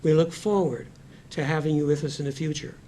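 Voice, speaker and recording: A man hosting a panel discussion, speaking in an earnest, deliberate way and repeating phrases for emphasis. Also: fairly high pitch, fairly even intonation, warm tone, a little hiss or hum. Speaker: host and discussion moderator.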